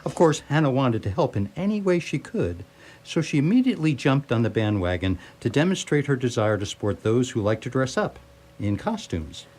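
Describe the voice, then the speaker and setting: A man's voice: mid-range voice, very animated, moderate pace, a little mellow. A man narrating a scripted radio theatre comedy as a wry, playful storyteller.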